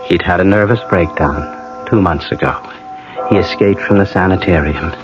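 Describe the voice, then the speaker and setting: Radio drama role, male actor: grave reflective man, lowish pitch, dull vintage broadcast sound. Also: moderate pace, over music, close to an everyday voice. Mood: somber, reflective.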